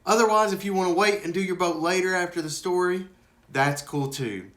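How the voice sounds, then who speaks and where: A man's voice: high voice, a little fast, a bit bright. A man hosting a children's ministry video, talking informally to kids at home.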